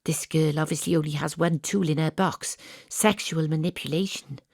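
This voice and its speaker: An actress playing a wry, sceptical Welsh private detective in a noir-parody audio drama, with deadpan asides. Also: female voice, low-pitched, moderate pace, gravelly, breathy edge, dry recording, clean studio sound, exaggerated voice. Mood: wry, suspicious.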